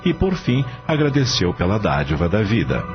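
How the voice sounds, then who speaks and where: A low-voiced man narrates a radio novela with very varied, animated intonation. It is scripted dramatic storytelling, slightly muffled, with music behind. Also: moderate pace. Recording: slightly dull radio-style audio.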